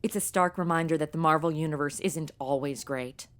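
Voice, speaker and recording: An actress playing a sassy, excitable modern young woman in a comedy audio drama, blurting sarcastic remarks in casual speech. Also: sits low, brisk, lightly acted.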